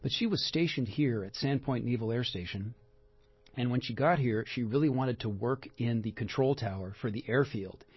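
A man's voice: medium pitch, moderate pace, breathy edge, dry recording, muffled recording. A man hosting a local history radio show, enthusiastic and a bit rambling. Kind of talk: radio talk interview.

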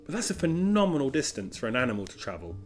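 Lively, curious podcast host. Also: male voice, mid-range voice, quick pace, faint music behind. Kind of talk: scripted intro and casual interview questions.

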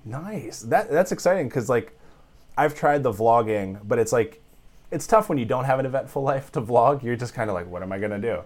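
A man hosting a casual interview, upbeat and quick to laugh and agree. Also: mid-range voice, animated, darkish tone. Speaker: podcast host. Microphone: remote call.

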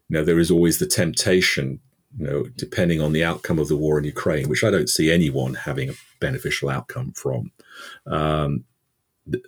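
A man's voice: extremely low pitch, slightly rough. A man guest from the UK answering an interview question at length, thoughtful, with many fillers and restarts. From United Kingdom. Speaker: energy expert guest.

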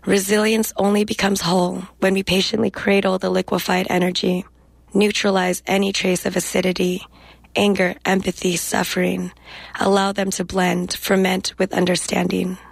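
A young woman guest speaking reflectively in a radio interview and reading her poetry in a measured way. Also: medium pitch, little pitch movement, creaky, dry recording. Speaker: guest poet.

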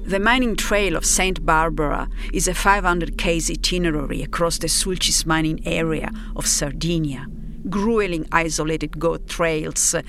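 A low-pitched woman narrates a personal story with a gravelly creak. She is reflective and takes her time with deliberate pauses, over music. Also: fairly bright tone. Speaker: narrator of her own audio documentary. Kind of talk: scripted first-person storytelling.

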